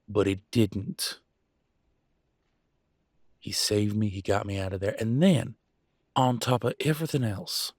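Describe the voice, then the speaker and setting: A man playing a conflicted werewolf pack alpha in an audio roleplay, arguing emphatically in colloquial, sweary speech with dramatic pauses. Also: on the low side, a little mellow, no echo, studio quality, natural voice. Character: Damien, conflicted male werewolf pack alpha, defiant and remorseful. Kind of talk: scripted audio roleplay, one side of an argument.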